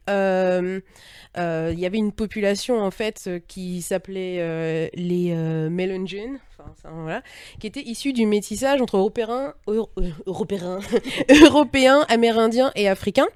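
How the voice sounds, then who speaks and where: A woman's voice: mid-range voice, a little mellow, clean tone, no room sound, clean studio sound. A woman talking informally as a panelist in a discussion, searching a little for her words as she explains.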